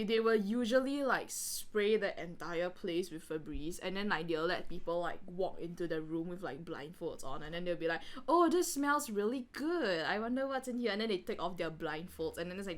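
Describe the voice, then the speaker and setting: A woman's voice: lowish voice, normal speed, few pauses. A woman podcast co-host chatting casually in a giggly way, acting out jokes as she goes. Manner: animated, giggly, acts out jokes. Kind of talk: casual joking chat.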